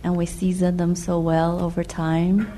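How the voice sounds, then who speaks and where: Woman from the audience asking stuff, lowish relaxed voice, bit of noise behind her. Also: unhurried. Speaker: audience member asking questions. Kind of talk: question at a talk.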